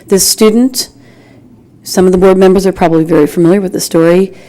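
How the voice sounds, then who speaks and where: An American woman giving an earnest, informal public address in a halting but insistent way, as a community member at a meeting. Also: lowish voice, steady tone, warm tone. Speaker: community member speaking in public comment at a school board meeting.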